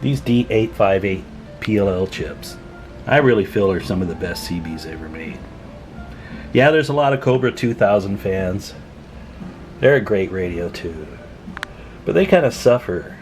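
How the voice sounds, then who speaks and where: A man's voice: deep voice, expressive, measured pace, a little mellow, soft background music. A man talking in a folksy, chatty way as he gives a casual hands-on repair demonstration at an indoor workbench. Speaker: radio repair technician and video host.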